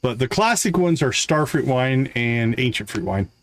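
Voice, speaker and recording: A man's voice: medium pitch, lively intonation, normal speed. A man with a mid-range voice gives live commentary on a game. He chats casually with viewers and explains things in an easygoing way. Faint clicks and background noise sit behind his voice.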